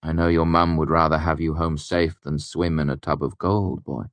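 A man voicing a calm middle-aged innkeeper who talks in a measured, gently stern way. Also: extremely low pitch, dry recording.

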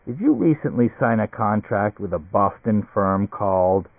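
A man's voice: on the low side, animated, moderate pace, gravelly, no room sound. Man playing an aloof, probing businessman, tinny sound. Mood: cool, probing. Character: aloof, highly evolved businessman with huge domed head. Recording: tinny band-limited radio-like sound.

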